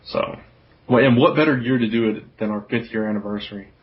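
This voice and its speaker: A man with a lowish voice hosts a podcast in a loose, conversational way. He rambles informally through his points, and the audio is somewhat dull and lo-fi. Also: brisk. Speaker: podcast host. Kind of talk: casual podcast chat.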